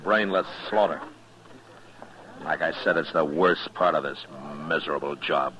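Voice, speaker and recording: A man's voice: very deep voice, a little slow, a loud crowd. A man acting a stern, weary, plain-spoken American frontier marshal in an old-time radio western, terse and grave. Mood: grim, weary. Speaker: actor and narrator in a radio western. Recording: dull vintage radio recording with music.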